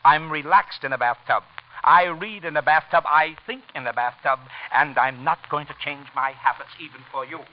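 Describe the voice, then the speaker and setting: Actor as grumbling, stubborn husband, muffled old radio. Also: male voice, a little high, moderate pace, natural voice. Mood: irritated, sarcastic. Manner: sarcastic, grumbling. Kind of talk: 1940s radio drama acting. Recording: muffled, faintly noisy vintage radio recording.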